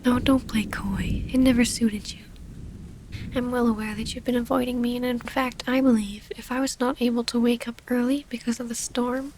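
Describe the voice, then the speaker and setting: Casting: actress as refined vampire lady, devoted yet wounded; higher-pitched breathy half-whisper, formal diction, intimate ASMR drama over faint rainy noise. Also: little pitch movement, normal speed, a little mellow, lightly acted, low-quality recording. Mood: hurt, confronting. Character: gentle, formal female vampire noble, wounded but devoted lover.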